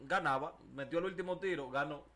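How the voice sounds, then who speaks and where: Bright-voiced teasing Dominican man. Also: on the high side. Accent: Dominican Spanish. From Dominican Republic. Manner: teasing, laughs often, reads rules aloud slowly. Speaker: radio show co-host. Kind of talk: joking studio banter. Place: radio and TV studio.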